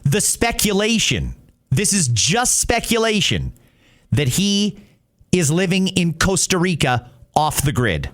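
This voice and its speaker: A man's voice: sits high, wildly swooping pitch, takes it slowly, fairly bright tone. A middle-aged man hosting an opinionated talk show in an emphatic, conversational way. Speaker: podcast host. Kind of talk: opinionated talk radio commentary.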